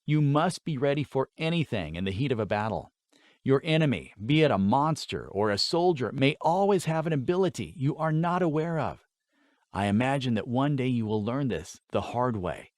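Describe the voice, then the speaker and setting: A man voicing a stern, battle-seasoned father who lectures in a measured, formal way in a fantasy audiobook. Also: medium pitch, very animated, darkish tone, no echo, clean studio sound, lightly acted. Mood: stern instruction.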